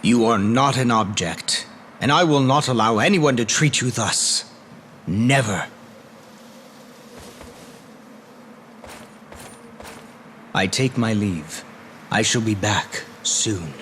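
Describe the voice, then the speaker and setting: Reserved young knight, fairly low voice, earnest, formal, devoted, video game drama, faint background noise. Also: male voice, lively intonation, unhurried, fairly bright tone. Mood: earnest resolve. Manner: formal, hesitant, sincere.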